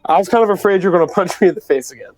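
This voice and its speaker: A man's voice: sits high, very fast, music in the background, poor audio. A man playing in a tabletop game, chatting casually and improvising his character's lines.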